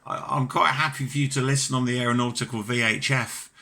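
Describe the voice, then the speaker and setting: A British man with a notably bright, crisp-edged voice talks over amateur radio. He is chatty and courteous, with open enthusiasm as he carries on a conversational exchange. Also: mid-range voice, fairly even intonation, fast talker. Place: home ham radio station.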